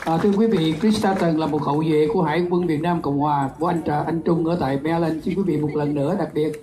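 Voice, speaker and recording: Rapid loud man emceeing, noisy sound. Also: fairly high pitch, steady tone. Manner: rapid, loud, calling for applause. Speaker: event emcee. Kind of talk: announcing at a community event.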